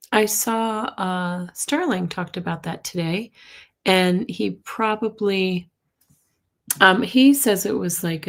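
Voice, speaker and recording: Husky-voiced woman hosting a live stream. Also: medium pitch, fairly bright tone. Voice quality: husky, gravelly voice. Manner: chatty, reacts to comments, coughs and clears throat. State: hoarse from losing her voice. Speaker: tarot and astrology livestream host. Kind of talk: casual live chat reading viewer comments.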